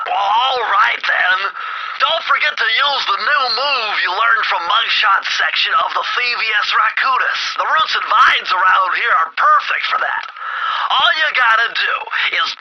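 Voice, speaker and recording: A man's voice: very high voice, bright, forceful tone, exaggerated voice, rough recording. A man voicing a nerdy, anxious, fussy turtle gadget expert in a cartoon video game, explaining things precisely.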